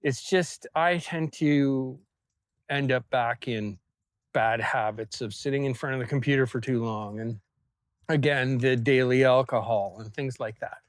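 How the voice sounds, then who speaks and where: A man speaks at an unhurried, halting pace in a casual, reflective vlog. His voice is mid-pitched and recorded dry in the open air, and his phrases often trail off. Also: no room sound. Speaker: vlogger. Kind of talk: casual personal vlog to camera. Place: outdoors on a small farm.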